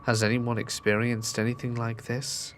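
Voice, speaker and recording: Male narrator for spooky true stories, mid-pitched, steady reading, faint hiss behind. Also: normal speed. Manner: steady storytelling delivery, reading submitted accounts.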